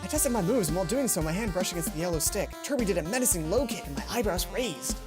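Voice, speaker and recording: A woman's voice: lowish voice, lively intonation, quick pace. A woman let's play host reading visual novel text aloud with casual asides, sometimes stumbling and correcting herself. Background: game music.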